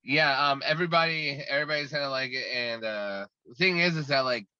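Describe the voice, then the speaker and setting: Rambling guy in an interview, bright forceful voice, muffled lo-fi sound. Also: mid-range voice, a little fast, clear, resonant tone, no echo. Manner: talkative and rambling, many restarts. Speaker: guest musician. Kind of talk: casual interview chat.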